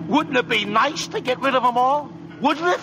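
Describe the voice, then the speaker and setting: Eager, high-voiced mail worker. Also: male voice, moderate pace. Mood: eager, sly. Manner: slangy, casual. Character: scheming, eager male postal worker sidekick. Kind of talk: 1940s radio acting. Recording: old radio broadcast, loud vehicle noise.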